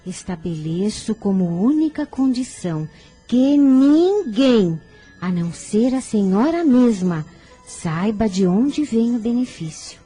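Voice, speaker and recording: Very mellow, gentle devoted mother. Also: on the high side, animated, takes it slowly, husky, soft background music, heavily acted. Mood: gentle, earnest. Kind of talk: radio drama acting.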